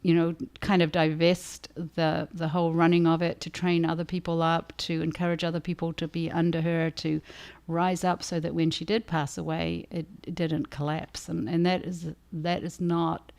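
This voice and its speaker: A woman with a notably low voice and plenty of vocal fry co-hosts a casual livestream. Her intonation stays quite flat as she thinks her way through answers to audience questions, halting and conversational. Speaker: author and livestream co-host.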